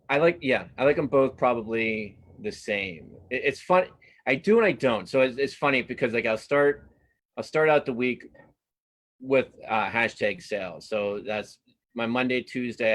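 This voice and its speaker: A man's voice: medium pitch, a bit bright. A man speaking as an interview guest in casual chat, often rambling, repeating himself and correcting his phrasing as he goes. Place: live online video conversation.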